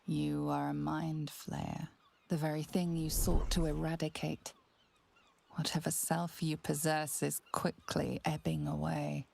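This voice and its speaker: A woman playing a grave storyteller in a fantasy game, narrating slowly and solemnly with ominous weight and a husky, gravelly voice. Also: low-pitched, little pitch movement, darkish tone, a little hiss or hum, exaggerated voice. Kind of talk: dramatic second-person game narration.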